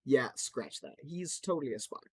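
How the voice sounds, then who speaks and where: A man voicing a brash, joking male trickster in a loud, high-pitched voice. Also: animated, moderate pace, slightly rough, no room sound. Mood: mocking.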